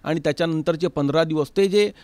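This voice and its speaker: A man's voice: on the high side, fast talker, darkish tone, dry recording. A middle-aged man telling stories conversationally as an interview guest, repeating words and slipping into Hindi and English. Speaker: interview guest, tabla player and festival organiser. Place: recording studio.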